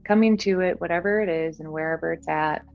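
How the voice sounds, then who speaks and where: A woman guiding a meditation in a gentle, soothing, unhurried manner. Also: fairly low pitch, vocal fry, phone-quality sound. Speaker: meditation guide. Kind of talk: guided meditation. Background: background music.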